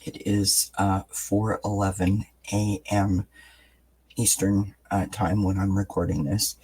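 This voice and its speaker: Low, husky man with a raspy morning voice. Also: slow pace, rough recording. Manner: soft-spoken, relaxed, meandering with many fillers. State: raspy just-woken-up voice. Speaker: tarot reader. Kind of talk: gentle daily tarot reading. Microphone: camera mic, above-view camera.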